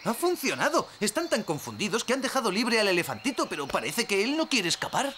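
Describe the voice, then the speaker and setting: A man voicing an earnest, excitable, worried young gnome nephew in a cartoon dub. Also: high-pitched, brisk, a little hiss or hum, full character voice. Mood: alarmed, eager. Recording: loud music behind the voice.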